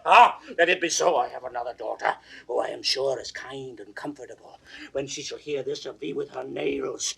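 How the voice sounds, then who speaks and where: An actor playing a proud, raging old king in a classical 1960s Shakespeare audio drama, grand and declamatory, swinging from hushed to roaring. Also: a little high, gravelly, breathy edge, recorded from a distance, full character voice. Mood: bitter, threatening. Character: aged king, proud, raging at his daughter.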